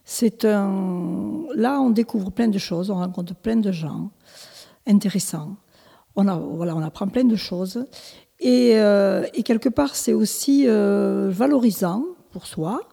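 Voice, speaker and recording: A woman's voice: mid-range voice, takes it slowly, creaky, warm tone, breathy edge, in a large hall. A woman in her seventies, born in Italy, answering interview questions as a village mayor, hesitant with many restarts and fillers. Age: 70s. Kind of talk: local interview.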